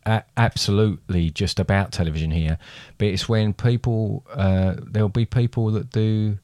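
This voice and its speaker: A man's voice: lowish voice, fairly even intonation, moderate pace, darkish tone, no echo, clean studio sound. A man co-hosting a podcast, chatting casually, rambling and restarting his sentences. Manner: rambling, hesitant, with many restarts. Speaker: podcast co-host.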